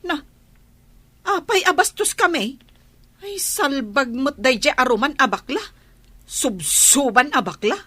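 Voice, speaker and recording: An actress with an Ilocano Filipino accent playing a feisty, easily offended village wife in a comedy radio drama. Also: female voice, high voice, animated, unhurried, long pauses, bright, forceful tone, no echo, full character voice. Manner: confrontational, questioning.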